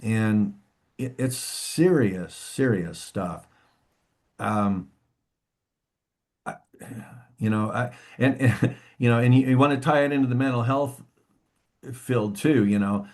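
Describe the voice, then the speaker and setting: A man who is a guest on a podcast interview, speaking in a halting, reflective way with frequent restarts and "you know". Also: mid-range voice. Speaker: musician guest. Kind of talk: interview conversation.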